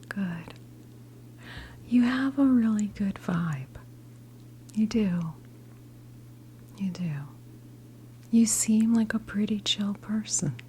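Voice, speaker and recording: Slow whispering American witch played by a woman. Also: mid-range voice, warm tone, a little hiss or hum, lightly acted. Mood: soothing, reassuring. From New Jersey, United States. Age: 50s. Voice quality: breathy whisper. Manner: slow, soothing, hesitant pauses, encouraging. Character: gentle, reassuring witch tarot reader. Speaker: tarot card reader in role play. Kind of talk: ASMR whispered role play.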